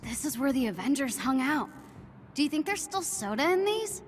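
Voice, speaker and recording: Eager teen girl hero-fan, high and animated, gushing, awkward, for a game. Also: moderate pace, a little hiss or hum. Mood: awestruck. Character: eager, awestruck teenage superhero fangirl.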